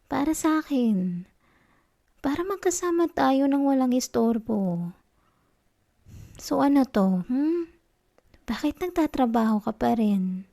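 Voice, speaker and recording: A woman playing a needy, affectionate wife in an intimate ASMR roleplay, with a Filipino accent and a soft, coaxing, pouty delivery. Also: a little high, gravelly. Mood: tender, mildly annoyed. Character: needy, affectionate wife, sulky yet tender and teasing.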